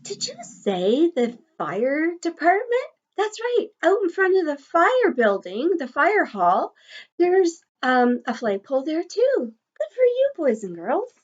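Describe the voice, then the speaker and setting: High-voiced woman reading slowly to children. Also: sing-song, dull audio. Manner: encouraging, sing-song teacher talk addressed to small children. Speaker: teacher reading a picture book to young children. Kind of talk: children's read-aloud with questions and asides to young listeners.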